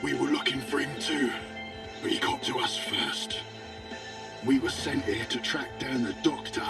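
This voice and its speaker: An actor playing a wounded, desperate mercenary in a video game, strained and in pain. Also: male voice, medium pitch, fairly even intonation, a little slow, vocal fry, far from the mic, loud music behind the voice. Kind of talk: dramatic video game acting.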